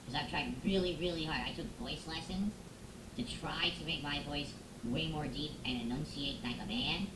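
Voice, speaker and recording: A man's voice: a little high, moderate pace. A man putting on the voice of a fussy, offended man through a voice changer, speaking in a prissy, over-enunciated way. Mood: affronted. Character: huffy offended man fussing over his own voice. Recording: echoey room with background noise.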